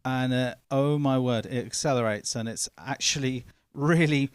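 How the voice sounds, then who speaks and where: A man chatting on a live stream, animated and given to jokes and rambling asides. His voice sits at a middle pitch. The recording is close and dry. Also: moderate pace, clean tone, no echo. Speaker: co-host. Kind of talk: casual live-stream chat. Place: indoors, live stream studio.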